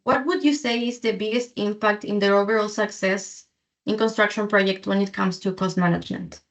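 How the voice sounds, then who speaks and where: A woman hosting a podcast, introducing the show and asking smooth, prepared questions in a friendly way. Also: medium pitch, fairly even intonation, a little fast. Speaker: podcast host.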